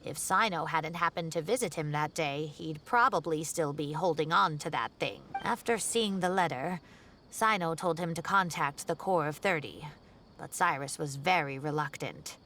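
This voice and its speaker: A woman playing a kindly, fretful older professor and gossipy neighbour in a video game, speaking in a genteel, expressive way. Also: deep voice, normal speed, slight background noise. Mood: concerned, recounting. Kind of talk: video game character acting.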